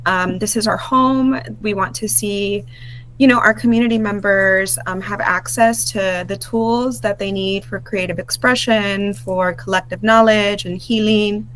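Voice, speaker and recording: A woman from Brownsville, Texas, speaking on a video call as a worker-owner of a community film center, relaxed and full of fillers. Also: medium pitch, measured pace, low-quality recording. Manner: relaxed, many fillers like um and you know. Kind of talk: casual info-session presentation.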